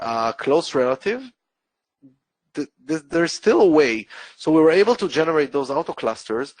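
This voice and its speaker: A man's voice: fairly high pitch, dry recording, low-quality recording. Israeli man explaining on a dry webinar line. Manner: hesitant, many fillers and restarts. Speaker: guest presenter.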